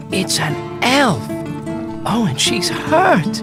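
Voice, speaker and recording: A man voicing a small, excitable, polite hobbit with an exclamatory manner in a video game. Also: sits high, very slow, over loud music, exaggerated voice. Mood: alarmed. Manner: exclamatory, wide-eyed. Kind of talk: video game cutscene acting.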